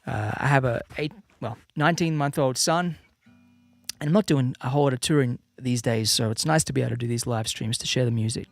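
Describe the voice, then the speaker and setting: Australian-raised man, 30s, half-American accent, easygoing livestream host, mid-pitched, dry mic, soft music underneath. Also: fairly quick, vocal fry, no room sound. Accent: mixed Australian-American. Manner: relaxed, rambling, many fillers and restarts. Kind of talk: casual chat to viewers. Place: home room with a slight added reverb.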